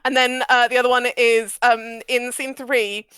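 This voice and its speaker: A woman's voice: fairly high pitch, level tone, normal speed, sharp tone, smooth, dry recording. A woman chatting casually as she gives actors direction as writer and director.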